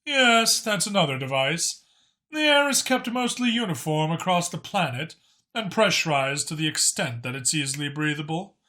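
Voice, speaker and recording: Man voicing a bright, higher-pitched dignified dragon. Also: expressive, moderate pace. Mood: guarded, firm. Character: Mach, dignified male dragon authority, measured and guarded. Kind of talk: audiobook character acting.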